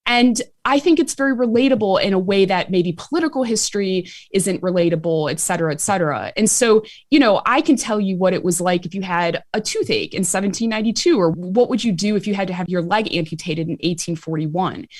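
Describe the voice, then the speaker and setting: A woman with a Chicago accent, an author and medical historian answering interview questions in an animated way, laughing easily. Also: mid-range voice, brisk, hardly any pauses, dry recording, studio quality. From Chicago, USA.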